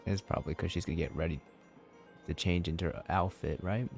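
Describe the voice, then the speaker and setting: A man with a low, creaky voice comments casually on a game he is playing. He talks in an offhand, slangy way, with faint music behind him. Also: fairly even intonation, a little fast, a little mellow. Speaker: gaming video creator.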